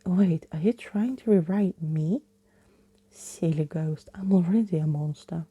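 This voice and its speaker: A defiant, cheeky monster girl from a storybook, read by a woman. She taunts with a sharp tongue in a mellow, husky undertone. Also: on the low side, unhurried, dry recording. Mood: taunting.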